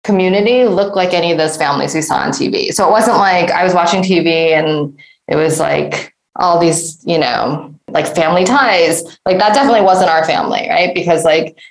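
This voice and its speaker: A woman's voice: lowish voice, normal speed, creaky, reverberant, low-quality recording. A woman from the Detroit area, a guest answering at length in an interview, thoughtful, full of fillers and asking "right?". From Detroit area, United States.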